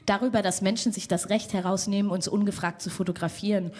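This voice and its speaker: A young woman with a cold tells a personal story on a theater stage, speaking into a stand mic. Her voice is husky, and her tone is frank and wry, like conversation. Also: mid-range voice, steady tone, fast talker, a little mellow. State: has a cold. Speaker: poet. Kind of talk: spoken-word storytelling.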